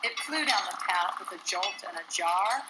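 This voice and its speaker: A woman reading a rhyming children's picture book aloud in an expressive, sing-song storytelling manner. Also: mid-range voice, moderate pace, heavy background noise. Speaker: storybook reader.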